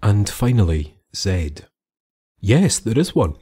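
A man narrating scripted folklore in a measured, theatrical way, with dramatic pauses. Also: fairly low pitch, big pitch swings, mellow, slightly rough. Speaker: storyteller and host. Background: music under the voice.

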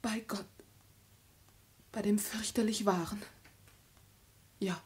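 An actress playing a frightened, sorrowful young daughter with resigned dignity in a 1950s German radio play, grave and formal. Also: female voice, mid-range voice, fairly even intonation, takes it slowly, darkish tone, close to an everyday voice. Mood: anguished, resigned. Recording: noisy vintage 1950s radio recording.

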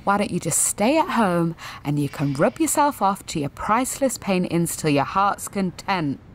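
A woman's voice: fairly low pitch, big pitch swings, moderate pace, slight background noise. An actress in her twenties playing a jaded, sweary, sensitive gallery attendant in a confessional audio drama monologue, wry and self-deprecating. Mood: exasperated. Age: 20s.

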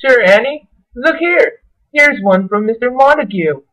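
A woman playing a lively, helpful boy in an amateur radio play, loud and exclaiming. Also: on the high side, a little slow, highs cut off. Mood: cheerful, eager. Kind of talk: old-time radio play acting by an amateur.

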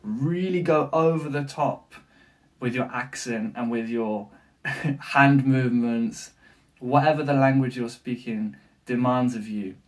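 A man's voice: medium pitch, unhurried, far from the mic. English man coaching, slightly off-mic. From England. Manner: animated, gestures with hands, many fillers. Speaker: language coach. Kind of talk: to-camera language tips video. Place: small room with bookshelf, indoors.

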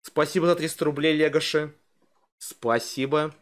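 A man's voice: fairly high pitch, steady tone, moderate pace. A young man streaming a reaction video from a small home room on a headset, joking crudely and reading out donations. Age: young adult. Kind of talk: casual reaction commentary.

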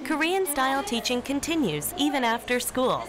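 A woman reading a documentary narration in an even, formal manner. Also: high voice, normal speed, crowd noise. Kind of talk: scripted narration. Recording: voice-over with music underneath.

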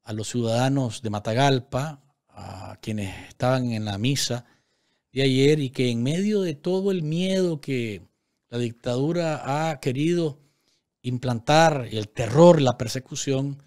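A man delivers news commentary from a small studio desk microphone. He talks straight to his viewers, emphatic and indignant, his voice sitting at an ordinary middle pitch and often pausing on fillers. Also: darkish tone. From Nicaragua. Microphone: desk microphone on stand.

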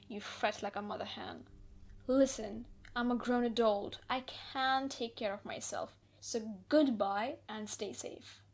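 A woman's voice: fairly high pitch, a little slow, gravelly. A woman acting an easygoing, teasing gamer captive in an audio roleplay, speaking in a playful, nonchalant, conversational way. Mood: pleading, reassuring. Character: easygoing, teasing female gamer held captive, unbothered adult. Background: background music.

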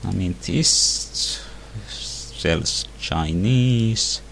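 A man slowly thinking aloud with many hums and pauses while playing a video game. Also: low voice, vocal fry, a bit bright, a little hiss or hum. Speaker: let's play host. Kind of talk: casual gameplay commentary.

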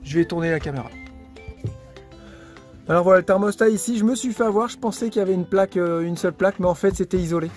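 A man's voice: high-pitched, fast talker, a little mellow, soft background music. Chatty man filming himself outdoors. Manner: chatty, explaining step by step with many fillers. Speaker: vlogger converting a bus into a camper. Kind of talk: casual DIY vlog talk to camera. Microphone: phone held at arm's length.

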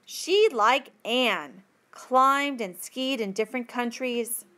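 A woman with a fairly high voice reads a school passage aloud. She goes very slowly, phrasing each part carefully and deliberately, in the style of a teacher reading to learners. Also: bright, forceful tone. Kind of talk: slow read-aloud of a textbook passage.

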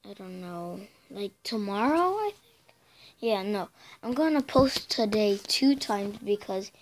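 Raspy woman channel host, slow and hesitant, mumbling asides between louder bursts. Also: mid-range voice. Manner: halting and filler-heavy, drifting between loud bursts and mumbles. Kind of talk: casual game commentary over a screen recording.

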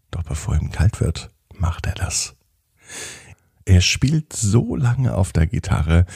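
A man narrating a children's bedtime story gently and expressively, with a husky, gravelly voice, speaking to the child listener. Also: low-pitched, dry recording, clean studio sound.

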